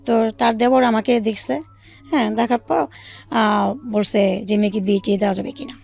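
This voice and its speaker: A woman with a Bangladeshi accent telling her own life story in an interview, haltingly, with pauses and repeated phrases. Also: medium pitch, fairly even intonation, creaky. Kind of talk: personal storytelling in a radio interview. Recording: faint music bed under the voice. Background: soft background music.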